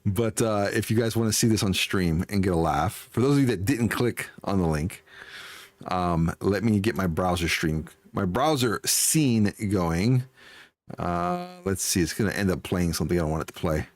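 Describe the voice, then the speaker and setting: Animated man chatting on a livestream. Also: deep voice, normal speed, no room sound, studio quality. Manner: amused, chuckling, repeats himself. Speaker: livestreamer playing a game. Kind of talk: casual livestream chat.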